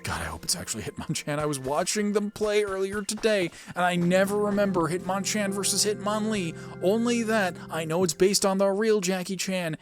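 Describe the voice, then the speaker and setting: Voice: mid-range voice, lively intonation, moderate pace, few pauses, music in the background. A game streamer with a playful manner, giving casual let's play commentary.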